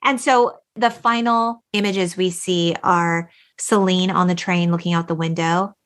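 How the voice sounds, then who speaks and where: A woman hosting a film podcast, chatting in an emphatic, expressive way and acting out or reading quotes as she goes. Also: lowish voice, measured pace. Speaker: podcast host. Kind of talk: animated film chat, retelling and reading out quotes.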